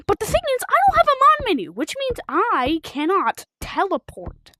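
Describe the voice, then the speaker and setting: A woman with a high voice and very lively swings in pitch, recorded close and dry. She rambles casually and excitably, like a game streamer talking to viewers in informal language. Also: moderate pace, dry recording. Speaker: livestreamer of a video game. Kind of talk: rambling casual livestream chat while gaming.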